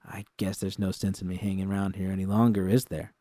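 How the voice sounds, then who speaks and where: A man's voice: sits low, flat delivery, fast talker, mellow, dry recording, clean studio sound. A man voicing a tough, sceptical mercenary woman in an audiobook story, blunt, mocking and scornful in delivery. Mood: bitter, cold. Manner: blunt, mocking, foul-mouthed.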